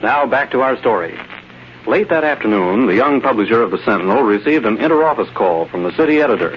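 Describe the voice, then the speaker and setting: A man's voice: medium pitch, animated, fast talker, lightly acted. A man narrating an old-time radio drama as its announcer, in scripted story-linking style. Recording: muffled vintage radio recording with music.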